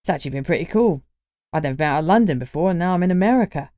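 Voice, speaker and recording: A man's voice: on the high side, animated, darkish tone, no echo, clean studio sound, lightly acted. Woman voicing a cheeky young Cockney lad. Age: young adult. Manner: cheeky, laid-back, quick talk.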